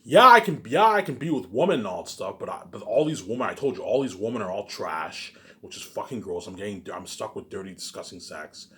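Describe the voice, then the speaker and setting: Fast-talking, boastful low-voiced man. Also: sing-song, pushed and bright. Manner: repetitive, keeps adding "obviously"; profane and boastful. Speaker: self-published writer vlogger. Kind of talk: rambling solo rant to viewers.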